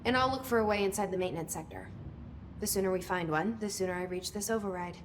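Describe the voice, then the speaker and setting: An actress playing a guarded, determined young agency director in a video game, with an understated, dry manner. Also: female voice, medium pitch, quick pace, a little hiss or hum, natural voice. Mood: agreeable, resolved. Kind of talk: video game cutscene dialogue.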